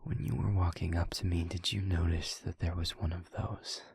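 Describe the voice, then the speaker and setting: A man playing a weary, brooding but protective demon in an intimate audio roleplay, speaking in a husky half-whisper with halting, formal phrasing. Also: sits low, level tone, darkish tone, dry recording, heavily acted. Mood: reluctant, somber. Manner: slow, halting, formal phrasing with heavy pauses. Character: weary, brooding male demon, gravely guarded yet protective.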